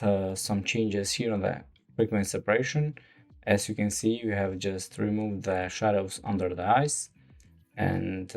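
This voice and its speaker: A man with a lowish voice, speaking English as a second language, walking through a retouching tutorial. He talks in a slow, halting way, full of fillers. Faint music plays softly underneath. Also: vocal fry. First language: not English. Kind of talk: screen-recorded software tutorial.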